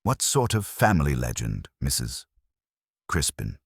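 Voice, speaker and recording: A man voicing a gentle, shrewd police inspector who questions politely in a mystery story. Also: a bass voice, sing-song, a little slow, breathy edge, no echo, studio quality, exaggerated voice. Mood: gently probing. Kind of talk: scripted mystery story dialogue.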